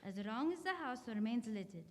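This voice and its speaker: Woman playing grave fatalistic doctor, highish voice, radio drama in an echoey hall. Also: sing-song, moderate pace. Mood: grim resignation. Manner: earnest, fatalistic. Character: grave, resigned doctor battling supernatural beings. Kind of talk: student radio drama acting. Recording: reverberant hall.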